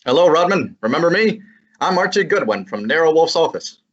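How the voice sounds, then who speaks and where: A wisecracking young male sidekick detective, played by a young student actor, talking tough and slangy at mid pitch with a husky edge. The sound is a little dull and lo-fi, like a school radio play. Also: sing-song, fairly quick. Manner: brash, slangy tough-guy delivery. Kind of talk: old-time radio drama acting and narration.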